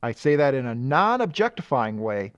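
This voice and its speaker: A man's voice: mid-range voice, very animated, normal speed, clean tone, no echo, highs cut off. A man from Michigan co-hosting a casual comic-book podcast, rambling and joking as he recaps. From Michigan, USA. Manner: rambling, self-correcting, joking. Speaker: podcast co-host.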